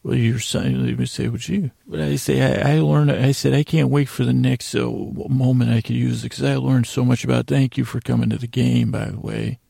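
A man hosting a sleep podcast with a rambling bedtime monologue, gravelly and halting, often trailing off mid-sentence and meandering on. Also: mid-range voice, fairly even intonation, warm tone, dry recording, studio quality. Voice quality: gravelly, creaky.

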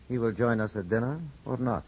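A man playing a suave, sly gentleman in a 1950s radio drama, courtly, measured and insinuating. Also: mid-range voice, very noisy, lightly acted. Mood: genial. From Italy. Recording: dull 1950s radio broadcast.

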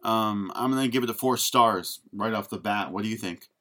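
A man's voice: lowish voice, normal speed, pushed and bright. A man hosting a podcast, chatting casually about film in a jokey, self-deprecating way. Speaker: podcast host.

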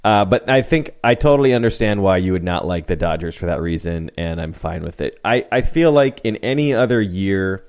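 A man's voice: low-pitched, a little mellow. A man co-hosting a podcast, chatting casually about sports in a rambling way. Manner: rambling, with restarts. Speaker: podcast co-host.

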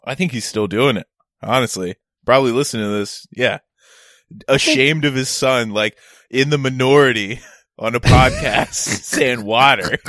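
A man's voice: mid-range voice, moderate pace, fairly bright tone, no room sound, clean studio sound. Enthusiastic man co-hosting a podcast. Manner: enthusiastic, swearing banter. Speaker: podcast co-host. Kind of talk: casual chat.

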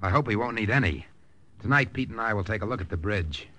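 An actor playing a hardboiled American secret agent, terse and dramatic, with an American accent in an old radio drama. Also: male voice, on the low side, expressive, fast, a bit bright. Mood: tense, terse. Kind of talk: dramatic first-person narration, old-time radio acting.

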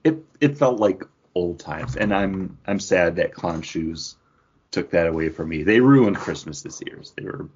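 A man's voice: deep voice, animated, unhurried, a little mellow, slight background noise. A middle-aged man co-hosting a podcast in loose, casual conversation. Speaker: podcast co-host. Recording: dull, lo-fi sound.

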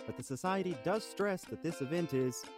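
A man's voice: on the high side, brisk, over music, close to an everyday voice. A man playing a plain-spoken, earnest small-town islander in an improvised audio comedy, saying absurd things straight-faced. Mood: straight-faced, announcing. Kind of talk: improvised comedy and mock announcements.

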